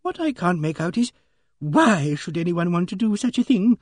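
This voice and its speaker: A man plays a genteel old maiden lady from a storybook, pitched high and swooping in her agitation. She is pious and easily shocked, and she speaks in hesitant, fluttering murmurs, recorded dry and close. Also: sing-song, normal speed, dry recording. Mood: pained bewilderment. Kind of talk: audiobook character dialogue.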